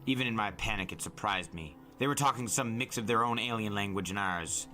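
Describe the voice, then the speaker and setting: Male dramatic narrator: low, sharp-edged, intense, deliberate audiobook delivery over music. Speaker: storyteller, podcast narrator.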